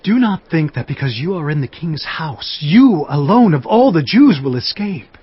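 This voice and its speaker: A stern elder kinsman in a scripture audio drama, urgent and admonishing. His husky voice is pitched fairly high, and the recording is a touch dull. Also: sing-song, moderate pace, no room sound. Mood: urgent warning.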